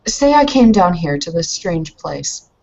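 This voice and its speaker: Woman acting earnest young foreign woman, lowish voice, halting and careful, tabletop roleplay, muffled recording. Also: sing-song, creaky, darkish tone, heavily acted. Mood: earnest, uneasy. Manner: halting, searching for words. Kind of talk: improvised in-character tabletop roleplay.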